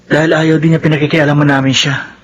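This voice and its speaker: An actor playing an easygoing, respectful young Filipino son and boyfriend in a radio soap opera. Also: male voice, fairly high pitch, flat delivery, quick pace, close to an everyday voice. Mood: calm, reassuring. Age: young adult.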